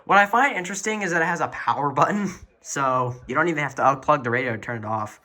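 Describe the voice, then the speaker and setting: A man's voice: fairly high pitch, fast, bright, forceful tone. A man giving a casual show-and-tell tour of a radio collection as an enthusiastic hobbyist.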